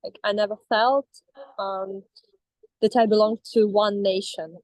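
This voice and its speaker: A woman's voice: medium pitch, steady tone, measured pace, darkish tone, dry recording, poor audio. A young woman with a Russian accent, talking over a video call as a coaching client, hesitant with many fillers and restarts. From Astrakhan, Russia. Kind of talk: casual reflective talk.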